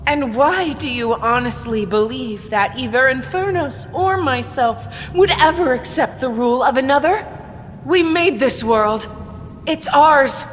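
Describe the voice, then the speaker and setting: A woman's voice: sits high, animated, measured pace, a little hiss or hum. A woman playing a proud, defiant female titan with claws, imperious and threatening, in a fantasy audio drama. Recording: long reverb, music underneath.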